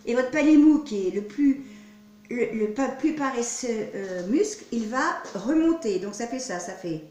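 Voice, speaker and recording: A woman's voice: on the high side, a little mellow. A woman singing teacher with a cold, explaining vocal technique to her students in a demonstrative way, often repeating her words. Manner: demonstrative, repeats words, talks through gestures. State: has a cold. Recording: echoey room, distant mic.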